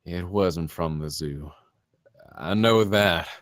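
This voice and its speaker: Low, terse, guarded man, acted at a read. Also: slow pace, no echo, clean studio sound. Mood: shaken, subdued. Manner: terse, hesitant. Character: Damien, shaken, guarded male survivor of an attack. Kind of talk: film script table read.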